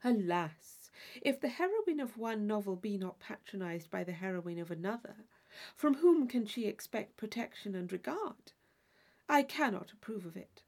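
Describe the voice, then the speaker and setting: A woman reading a classic novel aloud as scripted narration, in a measured, expressive storytelling manner. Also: mid-range voice. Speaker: audiobook reader.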